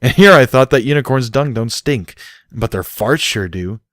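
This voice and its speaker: A man voicing a blunt, sharp-tongued female pony in a storybook, with a scolding, emphatic delivery. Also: medium pitch, expressive, moderate pace, no echo, studio quality, pitched above the performer's own voice, natural voice. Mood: amused.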